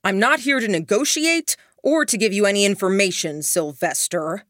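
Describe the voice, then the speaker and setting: A woman's voice: fairly low pitch, expressive, normal speed, no room sound, clean studio sound, natural voice. A woman doing a wary, commanding man. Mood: defiant. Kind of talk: audiobook character voice.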